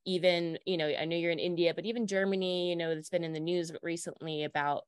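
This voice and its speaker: Female moderator, chatty and halting, low voice on a dry call line. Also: fairly even intonation, smooth, no echo. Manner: hesitant, lots of fillers and restarts. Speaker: moderator asking questions. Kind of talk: casual conversational questions.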